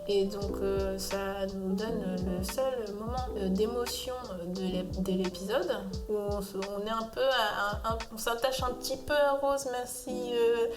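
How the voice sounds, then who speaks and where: A woman's voice: medium pitch, steady tone, measured pace, few pauses. A young woman giving a casual spoken review, hesitant and full of filler sounds and restarts. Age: young adult. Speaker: video essayist reviewing a cartoon. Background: music playing.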